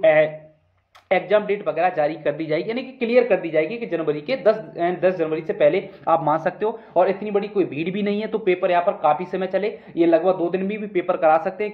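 A man giving an informal, instructive explainer to exam candidates, often repeating himself and checking in. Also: high-pitched. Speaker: exam news video presenter. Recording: roomy echo, dull muffled sound.

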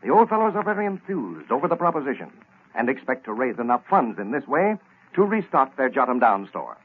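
A man's voice: mid-range voice, sing-song, fairly quick, breathy edge. A man announcing in 1930s radio style, delivering sponsor copy with emphatic salesmanship. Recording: muffled, thin old radio recording.

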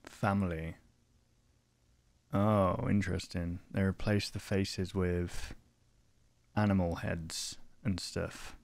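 A man's voice: low voice, steady tone, slow pace, pauses often, darkish tone, no echo. A man in his twenties giving laid-back, casual reaction commentary and reading on-screen text aloud. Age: 20s. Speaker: reaction video host. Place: small room at home, indoors. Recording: clear background noise.

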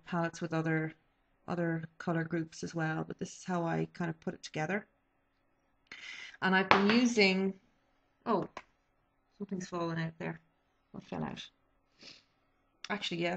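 A woman, chatting casually about makeup she has been using, a little sniffly from a cold, with many ums and restarts. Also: fairly low pitch. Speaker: beauty vlogger. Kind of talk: casual product review. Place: small bedroom indoors. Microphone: webcam built-in mic. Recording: dull lo-fi webcam audio.

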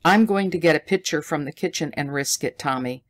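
A reader voicing a bold, defiant man who calls out loudly in an audiobook. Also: mid-range voice, fairly quick, natural voice.

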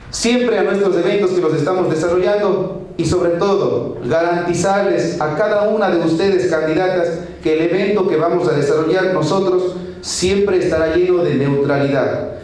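A man's voice: on the high side, darkish tone. A man in his thirties with an Ecuadorian accent, giving a formal public speech as a local official. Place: municipal session hall. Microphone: podium microphone. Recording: echoey hall, distant mic, faint noise.

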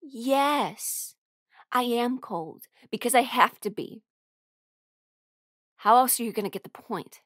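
A woman's voice: a little high, animated, slow pace, pauses often, fairly bright tone, no room sound, studio quality, heavily acted. A woman acting in an audio roleplay as a defensive, emotional musician rejecting her bandmate's love. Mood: angry, exasperated. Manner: emotional, exasperated, swearing. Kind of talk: audio roleplay drama monologue.

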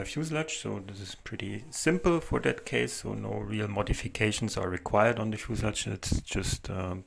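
A man narrating a model helicopter build in a relaxed voice-over, pausing often with fillers. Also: fairly low pitch, creaky. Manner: hesitant, many fillers, soft-spoken. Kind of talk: casual build walkthrough voice-over.

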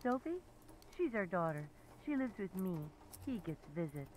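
A woman's voice: mid-range voice, big pitch swings, moderate pace, darkish tone, lightly acted. A woman voicing a panicky mother making an emergency call in a horror game. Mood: anxious. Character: frightened, panicky mother calling police. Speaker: emergency caller character. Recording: noisy emergency phone call.

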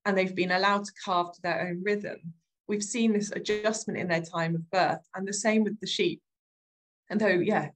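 A woman farmer giving a gentle, thoughtful webinar talk over slides, often saying "sort of" as she goes. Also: medium pitch.

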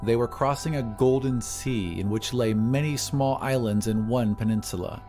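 A man's voice: mid-range voice, normal speed, dark tone, soft background music. A man reading scripted narration for an audio drama. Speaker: podcast narrator.

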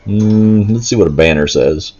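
Male gameplay host: lowish voice, informal, unscripted, meandering and hesitant. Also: animated, normal speed, darkish tone, highs cut off, faint music behind. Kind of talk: casual gameplay commentary. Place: indoors, in a room while playing a handheld console.

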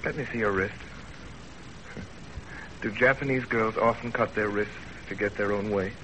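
An actor playing an earnest young husband in a 1930s radio drama, speaking gravely and deliberately. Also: male voice, lowish voice, fairly even intonation, a bit bright, natural voice. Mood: tender. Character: earnest young husband narrating his love story. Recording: noisy 1930s radio broadcast.

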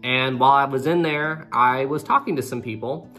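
A young man in his twenties recounts a trip straight to camera in a lively, conversational way, talking to his viewers directly. He sits in a small room with a desk webcam in front of him. Soft guitar music plays behind him. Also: mid-range voice, moderate pace. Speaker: travel vlogger. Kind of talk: storytelling to camera.

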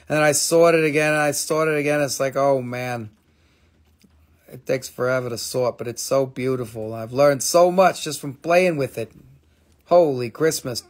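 A middle-aged man with a gravelly, slightly higher voice talks fast and excitedly on a live sales stream. He exclaims, swears casually and chats loosely, recorded close up at a table indoors. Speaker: live-stream gem seller.

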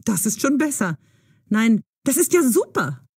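An actress playing a bold, eager young woman in an audio drama for language learners, brisk, excitable and clearly enunciated. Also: a little high, no echo, clean studio sound, heavily acted. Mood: eager, probing.

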